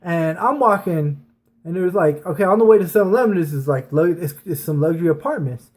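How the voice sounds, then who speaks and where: A man with a slightly high voice talks casually to his online audience. He tells stories in a loose, rambling style, full of slang and conversational asides. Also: lively intonation, fast talker, darkish tone. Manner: informal, rambling, slangy, sprinkled with swearing. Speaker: online storyteller, channel host. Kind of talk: casual personal storytelling to viewers.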